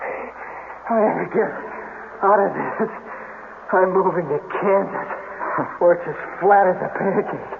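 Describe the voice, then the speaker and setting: A man's voice: high voice, unhurried, gravelly, pauses often. An actor playing a frightened, wavering young man with an anxious, pleading manner in a vintage radio drama. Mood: relieved, wry. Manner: anxious, pleading, plain-spoken. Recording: tinny, very noisy old radio broadcast.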